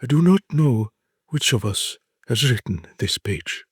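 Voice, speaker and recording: A man with a husky voice giving a deliberate, solemn dramatic reading of literary short stories, with measured pauses. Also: medium pitch, expressive, no echo, studio quality.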